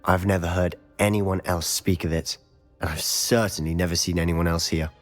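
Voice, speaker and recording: An actor playing a confident, secretive teenage wizard schoolboy in a video game, speaking in a conspiratorial, self-assured way. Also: male voice, low voice, fairly even intonation, normal speed, faint music behind, close to an everyday voice. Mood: confiding. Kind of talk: scripted game dialogue.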